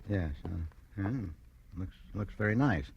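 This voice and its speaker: An actor playing a nervous, evasive clerk with a hesitant, stammering manner in 1940s radio style. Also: male voice, low voice, lively intonation, slow, gravelly, lo-fi sound, slight background noise, heavily acted. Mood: flustered, evasive. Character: nervous, meek, evasive male clerk inventing a wife. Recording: old radio recording with music.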